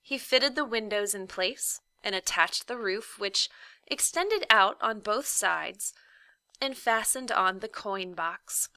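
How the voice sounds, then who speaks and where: A woman reading a children's story aloud like a teacher, with deliberate, emphatic phrasing and dramatic hushes. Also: mid-range voice, expressive, no room sound.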